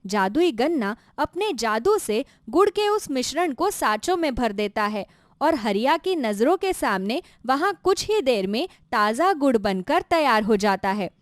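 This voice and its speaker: A woman's voice: fairly high pitch, very animated, unhurried, no echo, studio quality. A woman narrating a scripted children's moral story as a storyteller.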